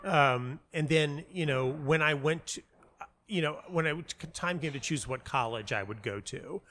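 A man's voice: on the high side, a little slow, fairly bright tone, slight background noise. An American man speaking as an interview guest, telling his story casually and reflectively, often interrupting himself and repeating words. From United States (North Carolina). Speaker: interview guest, playwright and audio dramatist. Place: hotel lobby.

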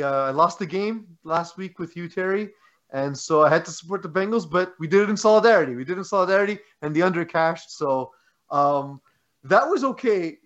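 A man co-hosts a casual sports podcast, talking loosely with many restarts. His voice sits a little high. The recording is muffled and lo-fi. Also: a bit bright. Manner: rambling, frequent restarts and fillers.

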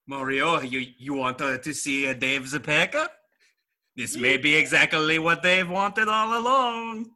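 A man playing a fake spooky ghost with a put-on, weak Italian accent, talking in a deadpan, bluffing way. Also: a little high, unhurried. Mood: mock spooky.

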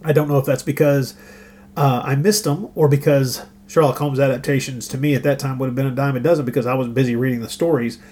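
A man's voice: medium pitch, steady tone, fast talker, a little mellow. A middle-aged man co-hosting a casual podcast, talking in a wry, joking way with rambling asides. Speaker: podcast co-host. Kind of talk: casual conversational podcast chat.

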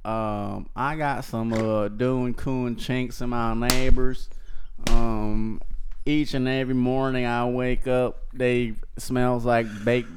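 A man doing a halting Southern radio caller. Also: medium pitch, flat delivery, slight background noise, natural voice. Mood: complaining. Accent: put-on mixed Southern US. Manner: hesitant, drawn-out delivery.

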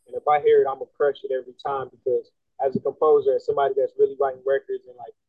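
A man's voice: mid-range voice, rapid-fire, husky, no room sound. A young man from the Bahamas, a singer and songwriter, chatting casually as an interview guest, laid-back and slangy. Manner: laid-back, slangy, animated when making a point. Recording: tinny phone-like line, dry.

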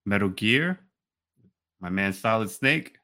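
A man's voice: fairly low pitch, lively intonation, slow pace, pushed and bright. A man co-hosting a podcast in a casual, bantering conversational style. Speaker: podcast co-host.

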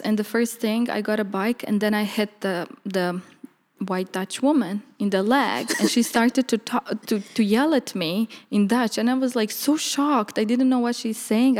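A young woman from Bosnia talks about her life as an artist, candid and reflective, with easy laughs. Her voice sits at a middle pitch. A long reverb trails behind her words in a reverberant room. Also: moderate pace, a little mellow. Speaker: artist guest. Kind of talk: conversational interview.

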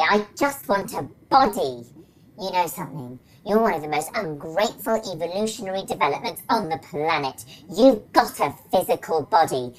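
A woman's voice: medium pitch, natural voice, poor audio. A woman voicing a sarcastic, restless brain in a jar that craves a body and rants in rhyme. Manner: sardonic, then chanting a rhyming rant. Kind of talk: stage drama acting.

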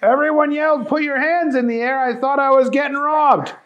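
A man voicing a goofy, bumbling male cook with a high, excited, silly voice in a storybook. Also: fairly quick, lo-fi sound.

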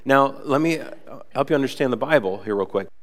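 A man's voice: medium pitch, animated, fairly quick, long reverb tail. A young man preaching a casual, conversational sermon in a church, a bit nasal from a cold and full of chatty fillers. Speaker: pastor. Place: church sanctuary.

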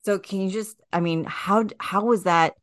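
A woman's voice: mid-range voice, moderate pace, no echo, studio quality. A woman hosting a podcast, encouraging her guest with affirming murmurs and curious follow-up questions. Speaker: podcast host. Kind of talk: casual interview conversation.

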